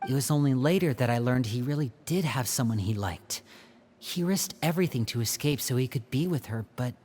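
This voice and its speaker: Casting: male actor for guarded young man in a story-driven game, soft-spoken, composed, medium register. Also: mid-range voice, expressive, a little fast, a little hiss or hum, close to an everyday voice. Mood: somber. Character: composed young man, loyal orphan brother, wistful, guarded. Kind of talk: video game character acting.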